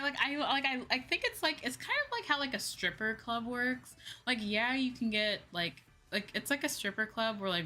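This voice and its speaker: A woman's voice: mid-range voice, sharp tone. A young woman streamer chatting casually on a livestream, rambling and repeating her phrases for emphasis. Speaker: VTuber streamer. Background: background music.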